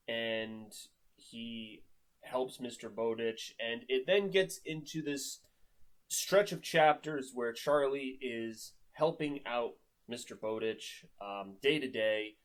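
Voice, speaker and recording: Male reviewer, mid-pitched, conversational and unscripted, rambling with emphatic stresses. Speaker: book reviewer. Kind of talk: casual unscripted book review.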